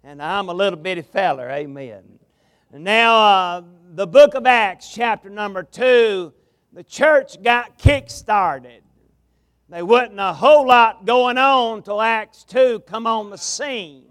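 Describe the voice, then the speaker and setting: Southern preacher man at a revival, high gravelly voice, dry close sound, drawls it out. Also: a bit bright, no room sound. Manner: folksy, drawn-out preaching cadence. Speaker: pastor, guest preacher. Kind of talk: revival sermon. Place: large tabernacle revival meeting. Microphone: amplified mic at a pulpit.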